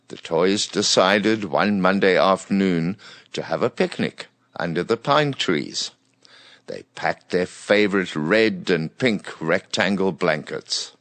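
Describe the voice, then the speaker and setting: A man narrating a scripted bedtime story for children in an expressive read-aloud manner. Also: sits low, no echo.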